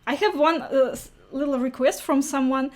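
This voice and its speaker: A woman's voice: high voice, moderate pace. A woman hosting a casual interview, phrasing her questions in a tentative, hesitant and appreciative way.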